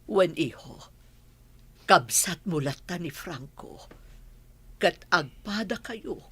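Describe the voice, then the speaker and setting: Woman acting slow-spoken, sorrowful loving mother. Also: fairly low pitch, expressive, slightly rough, heavily acted. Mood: tender, sad. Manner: slow, tender, emotive. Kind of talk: Ilocano radio drama acting.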